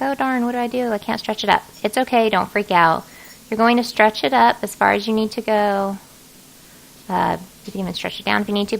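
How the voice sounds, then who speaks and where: Creaky-voiced woman giving a casual tutorial. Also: medium pitch, a bit bright, rough recording. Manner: casual, folksy, thinking aloud while working. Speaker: craft design software tutorial host. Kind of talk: informal screen-recorded tutorial narration.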